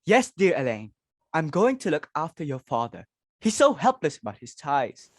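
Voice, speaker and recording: A woman's voice: deep voice, very animated, normal speed, no echo, studio quality. A woman playing a fussy, social-climbing society hostess and mother, exclaiming, fretting and giving orders in a dramatic reading. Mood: flustered. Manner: exclamatory, fretful, commanding.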